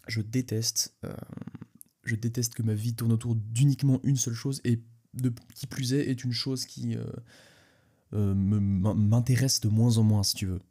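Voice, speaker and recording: A man's voice: mid-range voice, little pitch movement, moderate pace, warm tone. A young man with a cold, sniffling as he hosts a casual solo podcast, rambling with many restarts and speaking straight to the listener. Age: young adult. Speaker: podcast host.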